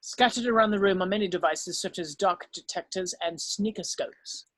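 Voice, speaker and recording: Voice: mid-range voice, normal speed, a bit bright, dry recording. A narrator reading screenplay stage directions as scripted narration, now and then stumbling over the text.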